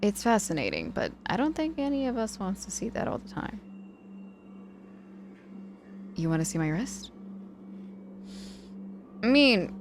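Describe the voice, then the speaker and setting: A woman playing a cocky but softening injured witch mentor in an ASMR audio roleplay, dryly teasing, apologetic and protective with hesitant pauses. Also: medium pitch, wildly swooping pitch, vocal fry, a little hiss or hum. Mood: wry, amused.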